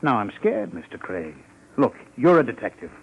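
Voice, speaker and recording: Muffled old-radio anxious tailor. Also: male voice, lowish voice, sing-song, normal speed, husky, full character voice. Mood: worried, pleading. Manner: hesitant, stammering, pleading. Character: anxious, humble neighborhood tailor, a small-shop man. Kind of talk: 1950s radio drama acting. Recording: dull old radio recording.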